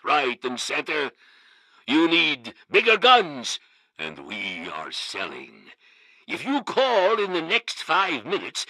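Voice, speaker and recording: An actor playing a brash, showy pitchman in a satirical game radio advert, declamatory and slyly persuasive. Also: creaky, bright, forceful tone, no echo, exaggerated voice, poor audio. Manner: declamatory, salesy.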